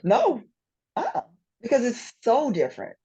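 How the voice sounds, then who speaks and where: A woman in her thirties with a Southern US accent, a guest chatting casually on a video call from a room at home, laughing often and dropping to a soft voice. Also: a little high, huge pitch swings, unhurried, husky. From Atlanta, Georgia. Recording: muffled video-call audio.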